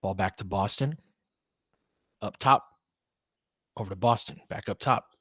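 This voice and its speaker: A man's voice: fairly low pitch, unhurried, sharp tone, husky, no echo, studio quality. A man doing casual live basketball play-by-play in clipped phrases, with conversational analytical asides. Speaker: play-by-play commentator and host.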